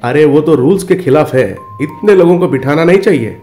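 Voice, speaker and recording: A man's voice: fairly high pitch, animated, a little fast, warm tone, faint music behind, natural voice. A man voicing an honest, polite, earnest auto driver in a cartoon story, speaking emphatically.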